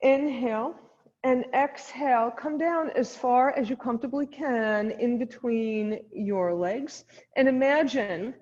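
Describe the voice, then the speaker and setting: Woman, yoga instructor, slow gentle cueing, mellow voice, long reverb, dull recording. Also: a little high. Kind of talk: guided chair yoga instruction.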